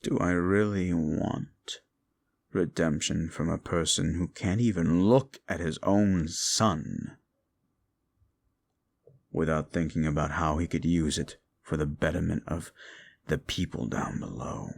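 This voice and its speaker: A man voicing a brooding, bitter fallen demon who lectures the listener in a slow, condescending way in an audio roleplay drama. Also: low voice, gravelly, heavily acted.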